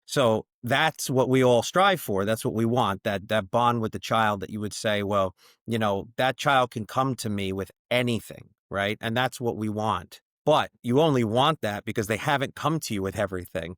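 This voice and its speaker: A middle-aged man tells family stories in an easy, rambling podcast chat, leaning on "you know" and acting out quotes. His voice sits fairly low. The sound is dry and close, with no room around it. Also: normal speed, no echo. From born in Chile, raised in the US. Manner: chatty storyteller, many "you know" fillers, animated when quoting. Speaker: brewery founder, podcast guest. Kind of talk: casual conversational interview.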